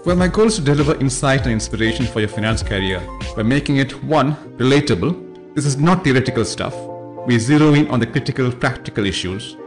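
A man's voice: mid-range voice, animated, a little fast. A man delivering a punchy, emphatic scripted intro for his finance podcast. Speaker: podcast host. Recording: intro over loud music.

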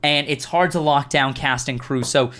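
A man's voice: mid-range voice, moderate pace, pushed and bright. A man hosting a casual group question-and-answer chat, speaking in an emphatic, earnest way. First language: Farsi and English speaker. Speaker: host reading viewer questions. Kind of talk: casual group Q&A chat giving advice to beginner video makers.

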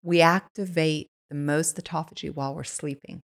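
A woman's voice: deep voice, steady tone, moderate pace. A woman from Switzerland, a guest on a podcast, telling stories in an eager, casual way with many "like" fillers. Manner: enthusiastic, lots of "like" fillers. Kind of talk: casual conversational storytelling.

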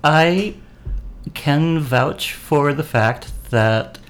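A man's voice: a little high, extremely slow, creaky, soft background music. A man, an actor guest-hosting a podcast, chatting casually and telling wry self-deprecating stories. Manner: tells wry anecdotes with self-deprecating humor. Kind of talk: casual chat.

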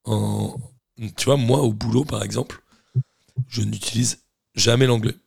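Man, French accent, gravelly, dry recording, informal host, teasing questions and anecdotes. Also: lowish voice, fairly even intonation, unhurried, a little mellow, no echo. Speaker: podcast host. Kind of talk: casual chat, questions and anecdotes.

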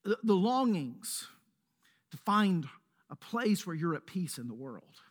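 A man with a Mississippi accent, a pastor leading a prayer and then preaching a sermon in a measured, earnest way. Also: sits high, very animated. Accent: Mississippi Southern. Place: church sanctuary.

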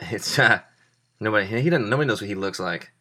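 A young man hosting a show with loud, joking banter and mock-showman flourishes. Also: lowish voice, animated, fast talker. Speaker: podcast host. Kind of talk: comedic casual chat.

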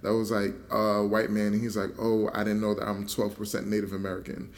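A man hosting a casual conversation, reacting with quick interjections and laughs. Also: on the low side, completely flat delivery. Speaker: host. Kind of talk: casual chat.

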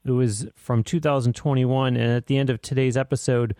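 A man's voice: mid-range voice, fairly even intonation, fairly quick, dark tone, no echo, clean studio sound. A man hosting a podcast interview in an articulate, orderly way, with prepared introductions and questions. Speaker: podcast host.

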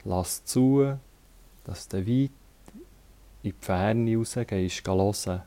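A man with a Swiss German accent leading a guided relaxation as a yoga teacher, calmly giving short instructions with long pauses. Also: on the low side.

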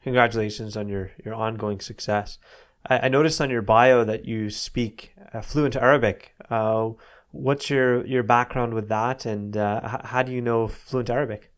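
A man's voice: medium pitch, steady tone, normal speed. A man co-hosting a podcast, asking a hesitant question. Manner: hesitant, with fillers. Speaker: podcast co-host. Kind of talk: interview question.

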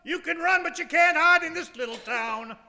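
An actor playing a menacing, taunting small-town man in a high, creaky voice for a stage radio play. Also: moderate pace, pushed and bright, in a large hall, full character voice.

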